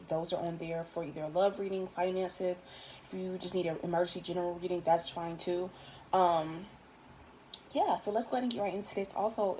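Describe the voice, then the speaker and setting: A tired woman with a raspy voice, giving a casual, chatty tarot reading in loose, swearing everyday talk. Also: on the low side, background noise. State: just woke up, tired. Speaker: tarot reader.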